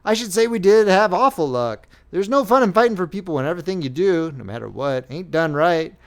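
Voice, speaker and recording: A man's voice: high-pitched, expressive, a little fast, pitched above the performer's own voice. A man doing an aggrieved young soldier. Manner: aggrieved, rustic drawl. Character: indignant young male Union soldier, the friend.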